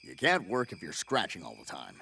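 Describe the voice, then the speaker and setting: A man's voice: low-pitched, huge pitch swings, brisk, creaky, sharp tone, slight background noise, heavily acted. A man voicing a stern, admonishing jungle animal friend in a cartoon.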